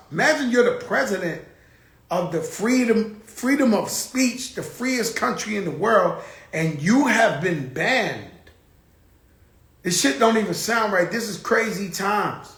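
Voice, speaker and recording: A man's voice: sits high, fairly bright tone, reverberant. A man hosting his own show, talking in a blunt, profane, rambling casual monologue. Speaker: show host.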